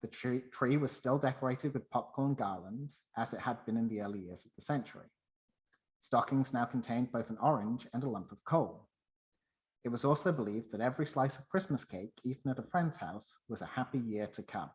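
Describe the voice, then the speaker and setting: A man with a medium-pitched voice presents from a script. He narrates as a presenter would, telling the story line by line. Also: expressive, fairly quick, darkish tone, low-quality recording.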